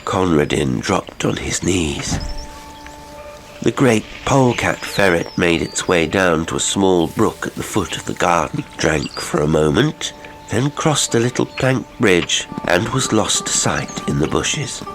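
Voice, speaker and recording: A man narrating a classic horror audiobook in a measured, literary, theatrical manner. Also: sits low, animated, fairly bright tone, poor audio. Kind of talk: dramatic horror story narration. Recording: music behind the voice.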